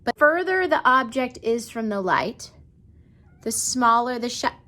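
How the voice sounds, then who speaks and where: A woman's voice: fairly high pitch, lively intonation, unhurried, bright, forceful tone. A woman teacher giving a casual how-to lesson for children at home, explaining simply and often checking in with questions. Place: indoors at home.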